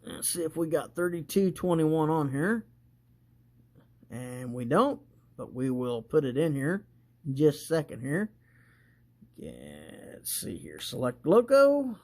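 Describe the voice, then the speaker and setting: A man casually presenting a hands-on hobby demonstration, talking haltingly and thinking aloud as he works. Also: fairly high pitch, sing-song, darkish tone.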